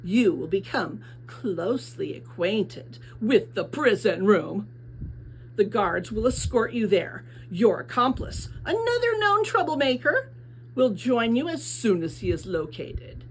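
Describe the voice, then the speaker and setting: A woman's voice: a little high, sing-song, a little slow, a little hiss or hum, rough recording. Woman acting a pompous, menacing male mayor. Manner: pompous, ponderous, scolding. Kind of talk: children's novel read aloud.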